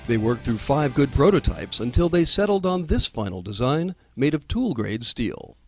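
A man's voice: mid-range voice, sing-song, moderate pace. A man reading scripted promotional narration for a company training film. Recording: music playing behind the voice. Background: background music.

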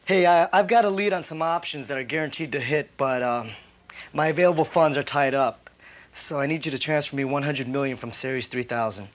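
A man's voice: a little high, a little fast, lightly acted. An actor in his thirties playing a slick, brash investment manager who is evasive under pressure, in a corporate training-film drama. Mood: persuasive. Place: indoor office.

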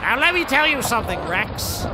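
High-pitched, bossy, angry cult boss played by a woman. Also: sing-song, moderate pace, heavy background noise. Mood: angry, shouting. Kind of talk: scripted comedy audio drama. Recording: loud music behind.

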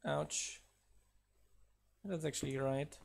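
Slow, easygoing male game streamer. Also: on the high side, gravelly, a little hiss or hum. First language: not English. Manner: slow, relaxed, counts blocks aloud, laughs at himself. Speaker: mod developer streaming gameplay. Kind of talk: casual live-stream commentary while building.

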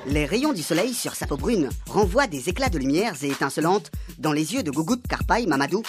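A woman's voice: low voice, big pitch swings, fairly quick, faint music behind. A woman giving wry, tongue-in-cheek scripted narration for a comic radio soap.

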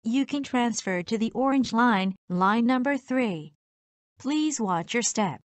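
A woman reading scripted subway announcements as a train announcer, in a formal and deliberate manner. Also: on the high side, lively intonation, dry recording.

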